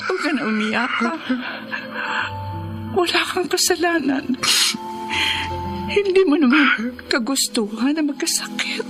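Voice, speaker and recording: A high-voiced, pleading, devoted wife. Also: female voice, lively intonation, a little slow, long pauses. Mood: reassuring. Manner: tender, tearful, imploring. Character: devoted, pleading wife nursing her sick husband. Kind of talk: radio drama acting. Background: dramatic music.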